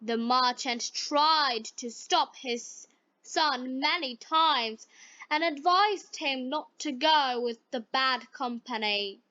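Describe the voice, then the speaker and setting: Female storyteller for beginner learners: very slow, deliberate phrasing, bright high voice, slightly dull lo-fi recording. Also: no room sound. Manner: deliberate, short separated phrases. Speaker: narrator of stories for English learners.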